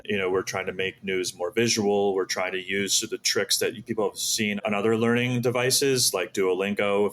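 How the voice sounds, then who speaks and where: Male interview guest, fairly low voice, earnest explainer who talks through his ideas as a founder would. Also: a bit bright, slight background noise. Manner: earnest, explanatory, asks and answers his own questions. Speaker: podcast guest, startup founder.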